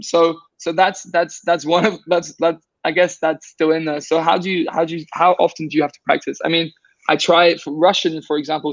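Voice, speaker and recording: A man's voice: fairly high pitch, dry recording, muffled recording. A man guest in a casual conversation, rambling with many restarts and fillers.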